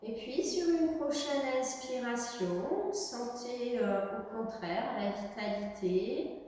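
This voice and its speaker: A woman teaching yoga, giving guided relaxation instructions in a gentle, halting way with pauses. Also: on the high side. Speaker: yoga teacher leading a class. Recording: echoey reverberant room, distant mic, faint noise.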